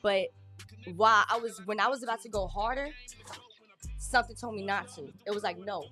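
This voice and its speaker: A woman in her thirties telling her life story in an interview, candid and plain-spoken, with halting restarts. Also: medium pitch, sharp tone, faint music behind. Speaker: guest. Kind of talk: personal testimony in an interview.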